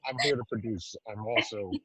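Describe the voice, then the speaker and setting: A man hosting a casual interview over a video call, relaxed and hesitant, with many pauses and restarts. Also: lowish voice, a crowd in the background. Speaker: interview host, film festival producer. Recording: dull, dry video-call audio.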